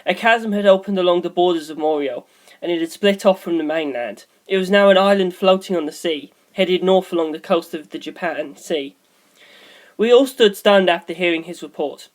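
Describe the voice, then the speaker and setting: A woman's voice: on the low side, normal speed. A woman reading scripted story narration as an audiobook narrator.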